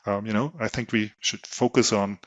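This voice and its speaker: A middle-aged man with a German accent, a guest on an interview podcast, answering with many pauses and fillers. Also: lowish voice, steady tone. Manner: hesitant, many ums and you knows. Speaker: guest, solar company technology chief.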